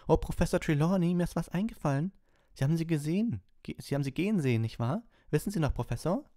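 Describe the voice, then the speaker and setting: A man's voice: a little high, lively intonation, fast talker, warm tone, no echo, studio quality, pitched above the performer's own voice, lightly acted. A man voicing an eager, awestruck teenage schoolgirl in an audiobook, with breathless, insistent questions. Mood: excited.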